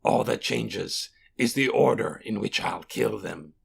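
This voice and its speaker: A man voicing a proud, calculating monstrous warrior in an audiobook, with a raspy, gravelly voice and a haughty, deliberate, formal way of speaking. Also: lowish voice, slight background noise. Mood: scornful, measuring. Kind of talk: audiobook character voice.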